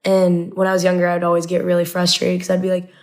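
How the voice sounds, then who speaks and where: A woman's voice: lowish voice, completely flat delivery, quick pace, a little mellow. A young American woman, a native Arabic speaker, recalling things casually as a podcast guest, with "like" peppered through her sentences. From Indianapolis, United States. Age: young adult. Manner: frequent "like" as filler. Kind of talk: casual personal recollection.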